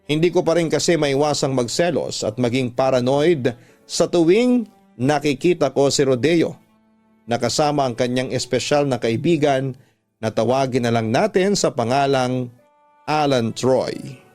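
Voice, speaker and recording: A man's voice: mid-range voice, a little slow, a little mellow. A man narrating a first-person letter in an earnest, sentimental radio drama style. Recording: music under the voice.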